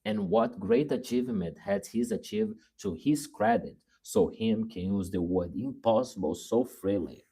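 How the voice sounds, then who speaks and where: A man's voice: lowish voice, darkish tone, husky. A man from Brazil speaking English with a Brazilian Portuguese accent, giving an earnest motivational talk on a live stream and often asking "right?". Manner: earnest, repeats words and restarts, asks "right?".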